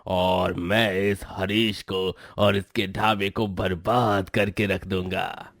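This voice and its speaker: A man's voice: deep voice, level tone, slow pace, creaky, fairly bright tone, dry recording, studio quality, full character voice. A man voicing a menacing, vengeful village man scheming in a dramatized moral story.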